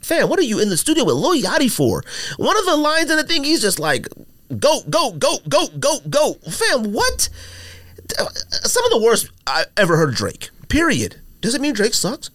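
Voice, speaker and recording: Animated man riffing on a podcast. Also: very high voice, normal speed, no echo. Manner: animated storyteller, acts out others in quoted voices. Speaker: podcast co-host. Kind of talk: casual chat.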